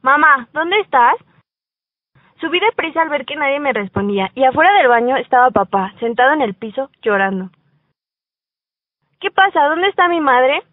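Woman as teenage schoolgirl heroine, high voice, radio drama narration, slightly muffled amateur recording. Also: very animated, moderate pace, pauses often, no echo. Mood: distressed. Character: teenage schoolgirl heroine narrating her own sad story. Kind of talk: first-person radio drama narration and dialogue.

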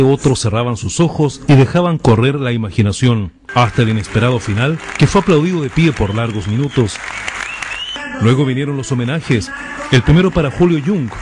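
A man's voice: mid-range voice, background noise. A man with a Chilean accent reading a scripted regional news report as reporter.

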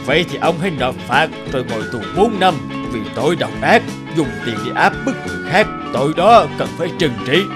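A man voicing a stern, just mandarin in an animated folk tale, speaking with authority. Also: fairly high pitch, a little fast, few pauses, music in the background, close to an everyday voice. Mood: commanding. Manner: authoritative, commanding. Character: stern, just male mandarin official judging villagers.

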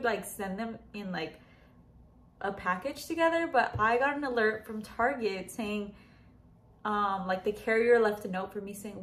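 A young woman in her twenties chatting casually to the camera at home, upbeat and full of "like" and "just". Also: on the high side. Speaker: lifestyle vlogger. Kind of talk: casual vlog chat to camera. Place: home living room and bedroom.

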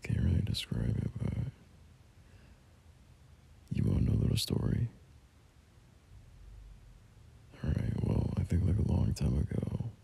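A caring young boyfriend acted by a man murmurs soothingly in an intimate ASMR roleplay. His voice is extremely low and full of fry, slipping into breathy whispers. The pitch wanders widely as he gently, hesitantly reassures. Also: big pitch swings, moderate pace, long pauses, full character voice. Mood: rambling, amused.

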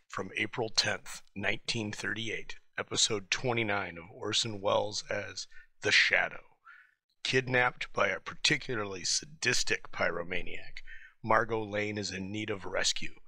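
A male host reading a scripted introduction in a lowish voice with gravelly fry. The sound is very crisp and forward, recorded dry and close. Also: steady tone, measured pace, bright, forceful tone, dry recording, low-quality recording. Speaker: host introducing old radio episodes.

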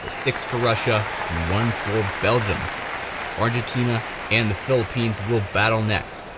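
A man's voice: fairly low pitch, fairly even intonation, moderate pace. A man giving play-by-play sports commentary on a race. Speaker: sports commentator for marble races. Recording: loud crowd noise behind commentary. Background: loud crowd and ambient noise.

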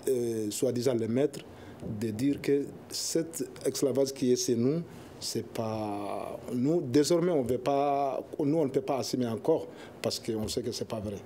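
A young man, an Arabic speaker talking in French as an association spokesman on a studio panel, halting and searching for words. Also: mid-range voice, mellow, slight background noise. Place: television studio.

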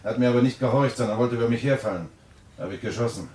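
An actor playing a grimly resolved, obsessed husband in a German thriller radio play, brooding and deliberate in manner, with a husky voice. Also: male voice, medium pitch, a little mellow, lightly acted. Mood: agitated insisting.

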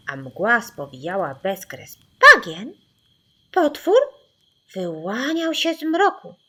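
Female children's audiobook narrator: suspenseful and theatrical, unhurried, very expressive pitch. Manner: suspenseful, theatrical, deliberate pauses. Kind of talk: dramatic scripted children's story narration.

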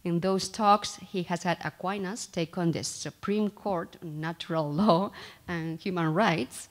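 A woman in her thirties giving a formal introduction at a podium microphone in a small room, in a measured, slightly halting way. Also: on the low side. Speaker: faculty member introducing the speaker. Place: small lecture room, indoors.

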